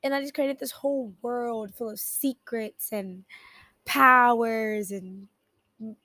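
A young woman hosting a solo podcast, her voice raspy from just waking up, rambling and cutting herself off with little laughs. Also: fairly high pitch, fairly even intonation, slow pace, pushed and bright, dry recording. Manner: rambling, self-interrupting, giggly. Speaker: podcast host. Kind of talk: casual solo podcast chat.

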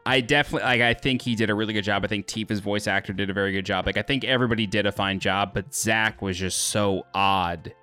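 Male co-host, deep bassy voice, blunt and opinionated in casual chat. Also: steady tone, fast, hardly any pauses, sharp tone, faint music behind. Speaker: podcast co-host.

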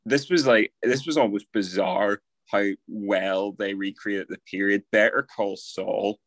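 A man's voice: on the low side, fairly even intonation, measured pace, no echo. A young man co-hosting a podcast, talking things through with wry, teasing asides. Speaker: podcast co-host. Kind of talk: casual chat.

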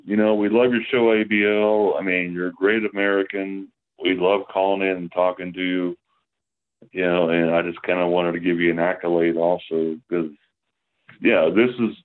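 A man calling in to a show, talking in an earnest way and praising the host. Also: low-pitched. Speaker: caller. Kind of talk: call-in show chat. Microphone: phone. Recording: tinny phone line.

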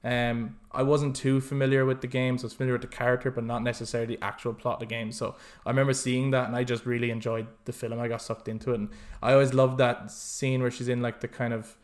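Animated, sweary man chatting about opinions. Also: mid-range voice, fast, few pauses. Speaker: podcast co-host. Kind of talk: casual film discussion chat.

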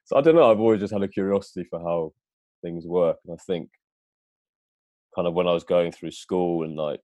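A man's voice: low-pitched, normal speed, pauses often, dark tone, no room sound. A man being interviewed as a guest, talking casually and filling his sentences with "like" and "kind of". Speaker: guest, data engineer.

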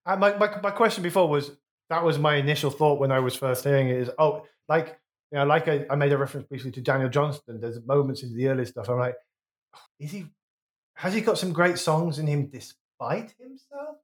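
A man with a West Midlands accent chats about music on a podcast. He rambles and restarts his sentences, and his voice sits a little high. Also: lively intonation, a little fast. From Wolverhampton, England. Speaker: podcast co-host. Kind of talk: casual music chat.

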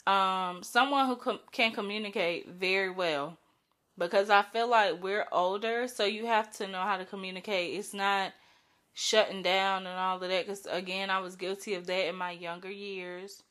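Bright-voiced woman with a cold. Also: mid-range voice, steady tone, normal speed. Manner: chatty, frank, uses slang and light swearing. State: sick with a cold, voice strained. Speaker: podcast host. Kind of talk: casual solo podcast monologue.

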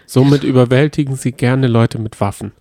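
A man's voice: mid-range voice, fairly even intonation, normal speed, warm tone, dry recording, clean studio sound. A man co-hosting a podcast in casual chat, eagerly retelling a plot and often restarting his sentences. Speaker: podcast co-host.

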